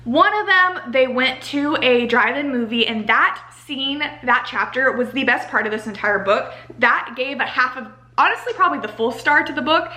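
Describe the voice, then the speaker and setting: High, bright young American woman, chatty. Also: moderate pace, hardly any pauses. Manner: animated exasperated ranting, heavy use of "like". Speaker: book reviewer. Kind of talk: casual chatty book review to camera. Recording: roomy home echo.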